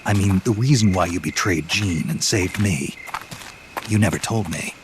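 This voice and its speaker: A man playing a terse, gruff, stoic soldier hero in a video game drama. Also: low-pitched, moderate pace, noisy background, close to an everyday voice. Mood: subdued.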